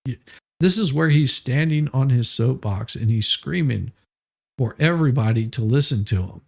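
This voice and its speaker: A man's voice: medium pitch, expressive, normal speed, creaky, a bit bright. A man reading documents aloud and adding blunt, sarcastic, exasperated commentary, often profane. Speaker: livestream host doing dramatic readings of legal filings.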